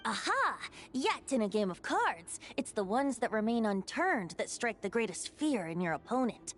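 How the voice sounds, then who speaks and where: Playful young performer, high voice, very animated, eloquent, theatrical, video game. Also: female voice, normal speed, faint music behind. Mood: playfully dramatic. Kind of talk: video game character acting.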